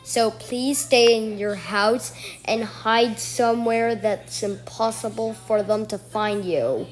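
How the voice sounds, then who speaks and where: A woman's voice: on the high side, slow pace, faint music behind. A woman delivering a homemade mock emergency alert as an announcer, repeating her warnings.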